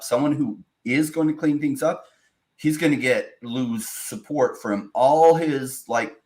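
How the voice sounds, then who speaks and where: A man on a casual podcast panel who talks emphatically and lays out long, opinionated arguments. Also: mid-range voice.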